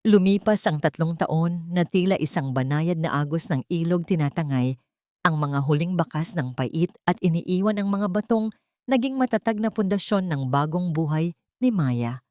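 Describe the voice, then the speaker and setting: Female narrator for dramatic audio fiction: lower register, solemn and sentimental, dry studio-like sound. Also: animated, normal speed, darkish tone, dry recording. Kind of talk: scripted dramatic story narration.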